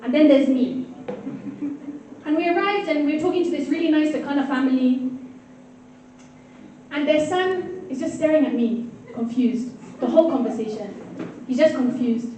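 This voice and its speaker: A woman's voice: high-pitched, moderate pace, dark tone, distant mic, slight background noise. A woman from Kenya doing a stand-up comedy set, playfully teasing her audience. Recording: echoey hall heard from afar with crowd. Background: audience laughter and crowd murmur.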